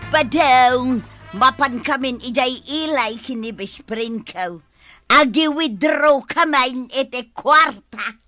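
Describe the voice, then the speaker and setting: A woman's voice: high-pitched, takes it slowly, pushed and bright, faint music behind, heavily acted. A woman with an Ilocano accent playing a bossy, money-minded village wife in a comedy radio drama, scolding and ordering.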